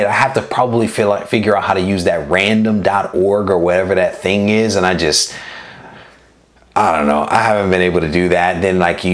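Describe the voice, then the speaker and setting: Low-voiced man chatting on a livestream. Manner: relaxed, rambling, many fillers and restarts. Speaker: comic collecting channel host. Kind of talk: casual livestream chat reading viewer comments.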